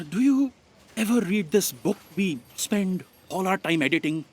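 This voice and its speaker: A woman's voice: lowish voice, lively intonation, unhurried, breathy edge. A woman playing a sardonic, put-upon office aide in a comic sci-fi audio drama, sarcastic and exasperated.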